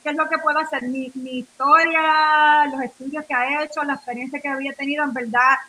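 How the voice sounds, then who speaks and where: A woman's voice: high-pitched, fairly even intonation, brisk, pushed and bright, low-quality recording. A Nuyorican woman from New York, a radio interview guest, telling her story in rambling Spanish full of fillers, restarts and English words. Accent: Nuyorican, Spanish mixed with English words. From New York, of Puerto Rican family. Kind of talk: casual interview talk.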